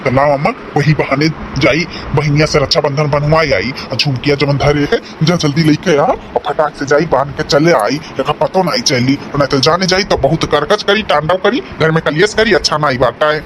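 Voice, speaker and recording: A man's voice: fairly high pitch, moderate pace, hardly any pauses, noisy background, close to an everyday voice. A man voicing a wheedling, placating village husband in a cartoon family comedy, with an Awadhi accent. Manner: rustic, placating, explanatory. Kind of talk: rural cartoon comedy dialogue.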